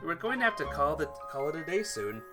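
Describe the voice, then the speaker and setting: A let's player reading a visual novel aloud as expressive narration, sometimes stumbling and rereading phrases. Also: medium pitch, quick pace, over music. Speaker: video game let's player.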